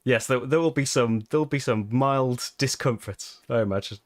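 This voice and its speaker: A man's voice: medium pitch, normal speed, no room sound, studio quality. A British man hosting a live board game playthrough, chatting casually and laughing often at his own expense. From Britain. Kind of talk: casual live-stream chat.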